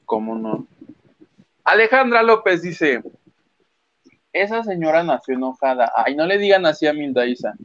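A man's voice: a little high, very animated, normal speed, pauses often, a bit bright, no echo. A man with a Mexican accent co-hosting a gossip live stream in a jokey, playful, casual way, on slightly lo-fi audio. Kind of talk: casual joking chat.

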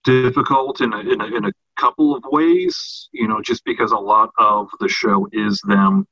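A man co-hosting a casual podcast discussion about fiction, talking in a halting way with repeated and restarted phrases. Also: lowish voice, sharp tone, husky. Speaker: podcast co-host. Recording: dull, roomy lo-fi sound.

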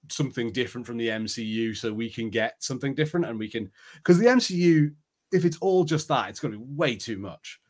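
A man co-hosting a podcast, giving his opinions in a casual, conversational and tentative way. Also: medium pitch. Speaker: podcast co-host. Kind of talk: casual chat.

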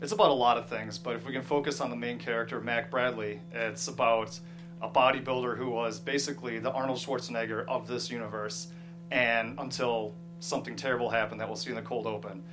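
A man, a screenwriter guest, explaining his script in casual interview talk, a little halting. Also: mid-range voice, steady tone, music in the background.

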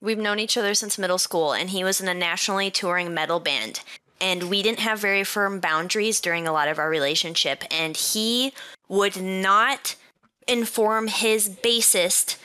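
A woman's voice: medium pitch, normal speed, pushed and bright, smooth. A young woman with a Minnesotan accent, telling a personal story bluntly on a group voice chat, swearing often. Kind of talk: casual chat.

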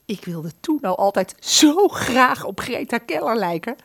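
A woman playing a sentimental, easily flustered shopkeeper and former singer in a radio play, speaking in a halting, gushing way. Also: fairly high pitch, very animated, gravelly, a bit bright, heavily acted. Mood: moved.

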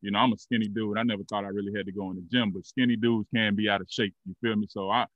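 A man in his thirties hosts a podcast interview in a relaxed, rambling way, full of fillers. His voice sits on the lower side, and the recording is dry and slightly dull, a little lo-fi. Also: rapid-fire, no room sound. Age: 30s. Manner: laid-back, loose and rambling with many fillers. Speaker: podcast host. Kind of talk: casual interview.

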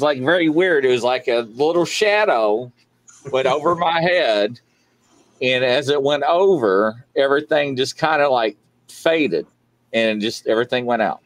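Puzzled man telling a strange story. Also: mid-range voice. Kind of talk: casual chat.

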